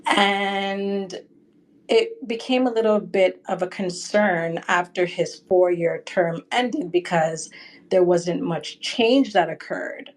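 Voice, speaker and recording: A woman's voice: lowish voice, takes it slowly. A woman from Canada calling in to a livestream, chatty and rambling, tagging her points with okay and right. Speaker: caller. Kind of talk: call-in discussion.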